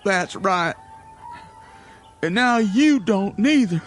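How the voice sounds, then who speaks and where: An actor playing a sore, whiny young bully with a rural American accent in a radio drama. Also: male voice, sits high, animated, takes it slowly, faint music behind. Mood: hurt, bitter. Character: sore, whiny young country bully nursing wounded pride.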